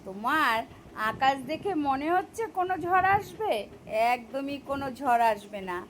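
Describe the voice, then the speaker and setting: Woman voicing a sly high-pitched cartoon fox. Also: expressive, normal speed, fairly bright tone, slight background noise. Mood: triumphant. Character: sly, clever, smooth-talking fox outwitting a tiger. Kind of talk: animated children's story dialogue.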